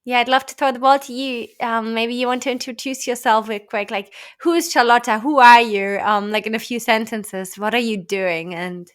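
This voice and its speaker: A woman's voice: a little high, steady tone, normal speed, hardly any pauses, smooth. A woman with a German accent hosting a podcast, effusive and bubbly, laughing often. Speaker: podcast host. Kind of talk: podcast interview.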